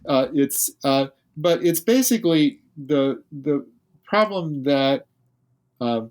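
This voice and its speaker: A man's voice: a little high, lively intonation, slow, darkish tone. Man explaining physics, thinking aloud. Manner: thinks aloud, explains carefully with many restarts. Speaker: guest physicist. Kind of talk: podcast interview.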